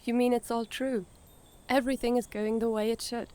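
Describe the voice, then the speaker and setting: Woman as calm young girl group member, reassuring, highish voice, audio drama acting. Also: brisk, natural voice.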